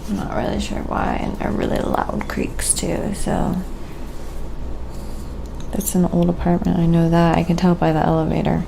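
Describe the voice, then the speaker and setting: A soft-spoken, sometimes whispery woman chatting casually on an ASMR painting livestream, musing aloud in an unhurried way. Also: on the low side, flat delivery, vocal fry, background noise.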